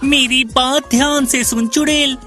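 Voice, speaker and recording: Female performer as greedy thieving village wife, animated tale; commanding, high-pitched, deliberately slow. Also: faint music behind. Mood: bossy. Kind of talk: animated moral-tale cartoon dialogue.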